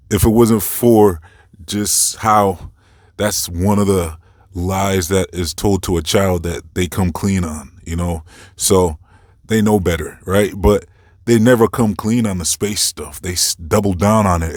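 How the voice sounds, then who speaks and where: Deep-voiced man giving informal commentary. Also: a little slow, a little mellow, no echo, studio quality. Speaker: host of a commentary series. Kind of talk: informal opinion commentary, unscripted.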